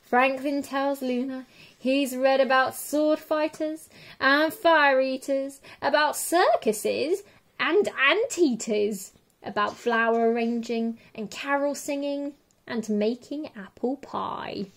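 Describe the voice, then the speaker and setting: A woman with a fairly high voice reads a children's story aloud. Her pace is slow and measured, with expressive phrasing suited to a picture book. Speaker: teacher reading picture books.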